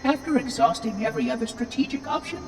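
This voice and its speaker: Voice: high voice, fast. An actor voicing a prim, placid artificial intelligence in a video game, precise and polite, with synthetic processing. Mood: placid, matter-of-fact. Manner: precise, matter-of-fact, polite. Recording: echoey hall, distant, music behind. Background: game score.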